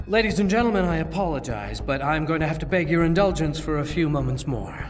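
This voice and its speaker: Man playing formal, grieving movement leader, higher-pitched. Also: animated, soft background music, natural voice. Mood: formal, apologetic. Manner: measured, formal, oratorical. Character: grieving, self-righteous male leader of a political movement. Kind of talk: dramatic video game acting.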